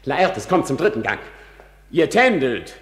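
An actor playing a melancholy, defiant young prince in a radio tragedy, theatrical, moving between brooding and fierce outcry. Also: male voice, on the high side, big pitch swings, measured pace, big-room sound. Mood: eager, challenging. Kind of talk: classic radio play acting of stage tragedy.

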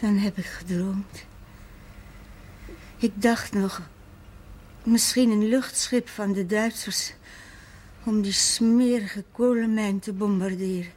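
An actress playing a gravely ill, weary and loving mother in a 1970s Dutch television drama, speaking tenderly and with resignation. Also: mid-range voice, fairly even intonation, slow, pauses often, a little mellow, natural voice. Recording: faint noise on old TV audio.

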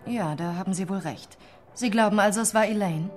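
An actress playing a composed young police detective, questioning politely but insistently in a radio crime drama. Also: female voice, medium pitch, a little fast, faint music behind, lightly acted. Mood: calm, probing.

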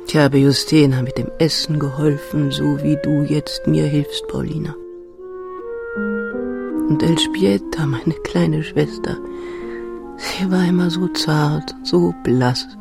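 An older actress playing a frail, wistful grandmother who remembers her past slowly and reflectively in a radio drama. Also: low-pitched, gravelly, exaggerated voice. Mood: wistful reminiscing. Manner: slow, halting, reflective storytelling. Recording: music behind the voice.

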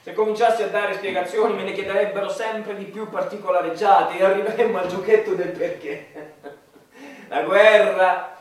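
An actor playing a pompous, jovial army general, declaiming about war in a satirical stage play. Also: male voice, high-pitched, fairly even intonation, moderate pace, close to an everyday voice. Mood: amused, grandiose. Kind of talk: satirical theatre dialogue. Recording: echoey hall, distant microphone.

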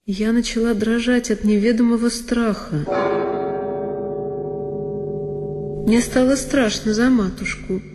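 An actress playing a frightened young girl who recalls a dreadful night in a measured, literary audio drama narration. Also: a little high, steady tone, lightly acted. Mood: fearful. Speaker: solo performer of an audio drama. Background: music behind the voice.

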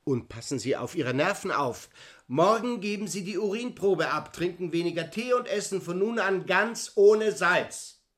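An actor in a German radio play voicing a brusque, puzzled medical professor who questions and orders with authority. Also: male voice, high-pitched, animated, normal speed, fairly bright tone. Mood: brusque, instructing.

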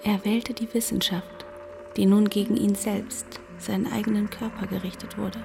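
A woman's voice: mid-range voice, level tone, moderate pace, vocal fry, background noise. A woman reading reflective, literary narration for a radio drama. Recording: music under the voice.

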